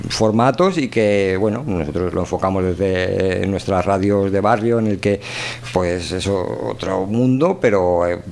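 A man's voice: sits low, unhurried, creaky, darkish tone. A man with an accent from Spain hosting a community radio show in a casual, rambling, colloquial way. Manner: rambling, informal.

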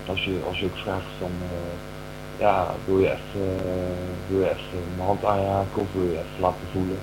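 A man playing an earnest, reassuring believer in an audio drama, speaking hesitantly and rambling with many pauses and repetitions. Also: low-pitched, completely flat delivery. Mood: earnest, awed. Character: earnest believer in friendly aliens, reassuring companion. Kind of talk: improvised-sounding Dutch audio drama monologue. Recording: noisy, with loud mains hum.

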